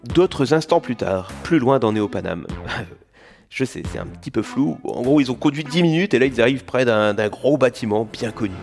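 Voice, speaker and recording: A man's voice: medium pitch, expressive, moderate pace, creaky, music in the background. A man narrating an audio comedy serial in a casual, humorous scripted style.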